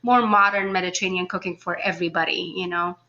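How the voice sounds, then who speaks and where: A woman's voice: on the low side, fairly even intonation, fast talker, bright, forceful tone, dull audio. A woman from Egypt talking casually and informally as a guest in an interview, chatty and given to laughing. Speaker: podcast guest, food blogger.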